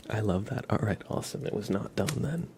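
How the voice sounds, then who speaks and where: A man hosting an ASMR livestream, chatting playfully and softly with viewers, often slipping into whispers and rambling as he goes. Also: lowish voice, animated, a little fast, vocal fry, background noise. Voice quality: soft, often breaking into whispers. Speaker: livestream host. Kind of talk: ASMR livestream chat, reading and answering viewer comments.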